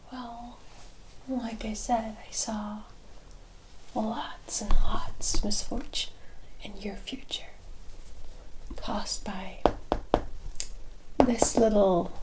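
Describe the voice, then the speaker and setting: A woman playing a teasing, worldly forest witch in a whispered ASMR roleplay, speaking in a deliberate, familiar way. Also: a little high, darkish tone, a little hiss or hum, rough recording. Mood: wry, disdainful. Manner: unhurried, deliberate, familiar terms of address.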